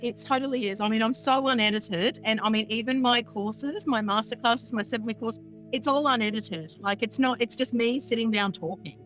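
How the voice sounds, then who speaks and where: A woman's voice: fairly high pitch, moderate pace, fairly bright tone, soft background music, rough recording. A chatty, bubbly woman, a guest astrologer on a podcast, who laughs often. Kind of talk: casual podcast chat.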